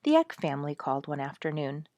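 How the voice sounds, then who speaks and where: A woman's voice: low voice, big pitch swings, fast, darkish tone, no room sound. A woman narrating a story expressively, as in a dramatized audiobook reading. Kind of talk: dramatized reading of a memoir-style animal story.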